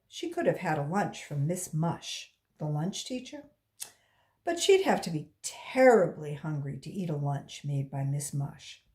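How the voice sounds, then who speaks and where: Female storyteller for children's read-aloud, slow and very expressive, recorded in a roomy space. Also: mid-range voice. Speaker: volunteer children's book reader.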